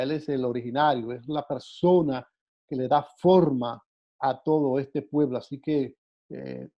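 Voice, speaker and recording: Halting man teaching a Bible lesson. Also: fairly high pitch. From Dominican Republic. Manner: halting, many "eh" fillers and repeated words. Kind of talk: unscripted Bible class talk. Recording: dull lo-fi sound.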